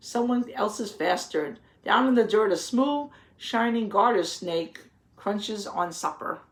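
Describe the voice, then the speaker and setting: A woman reading a children's picture book aloud, pausing to explain things simply and put questions to the young listeners. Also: mid-range voice, expressive. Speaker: read-aloud teacher.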